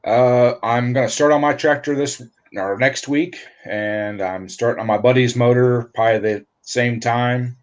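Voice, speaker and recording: A man, a guest on a livestream, explaining engine work in a relaxed way with lots of fillers. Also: medium pitch, unhurried. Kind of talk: casual technical chat.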